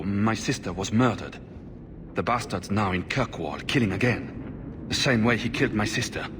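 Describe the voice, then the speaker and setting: A man's voice: on the low side, lightly acted. A man acting a desperate, vengeful blood mage in a video game, intense, defensive and insistent. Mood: bitter, urgent. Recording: noisy, long-echoing hall.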